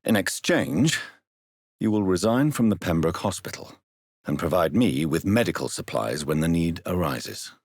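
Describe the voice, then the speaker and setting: An actor playing a grave, refined British doctor turned vampire in a video game, speaking formally with measured, precise diction. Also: male voice, low voice, slightly rough, no room sound, clean studio sound, heavily acted. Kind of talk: video game character dialogue.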